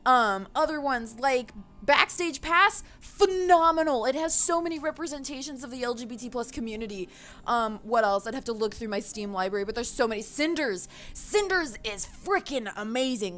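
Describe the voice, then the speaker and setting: A woman's voice: on the high side, fairly bright tone. A woman giving a casual, opinionated rant to viewers, passionate and emphatic, with loose swearing, as a gaming video creator.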